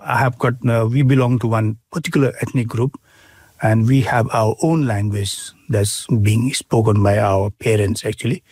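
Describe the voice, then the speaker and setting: A middle-aged man with a Bhutanese accent, speaking in an interview with many pauses, fillers and restarts. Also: fairly low pitch, fairly even intonation, a little mellow, slightly rough, no echo. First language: Nepali. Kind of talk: casual interview.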